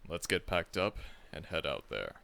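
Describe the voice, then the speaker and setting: A man's voice: sits low, big pitch swings, normal speed, vocal fry, sharp tone, smooth, full character voice. A man voicing a seasoned, confident monster hunter who acts as a friendly mentor, reassuring the listener, calling them kid and chuckling often in an ASMR audio roleplay. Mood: encouraging.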